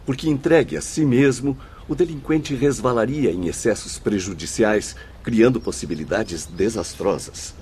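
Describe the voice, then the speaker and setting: A man's voice: medium pitch, normal speed, hardly any pauses, darkish tone, a little hiss or hum, natural voice. A man playing an earnest, reasoning spirit guide in a radio drama, courteous, formal and explanatory. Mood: reasoned, assured.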